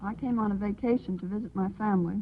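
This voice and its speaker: A woman's voice: mid-range voice, fast talker, slightly rough. Woman guest on muffled 1930s radio. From New York. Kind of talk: brief interview answers on 1930s radio. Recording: muffled old radio, audience noise behind.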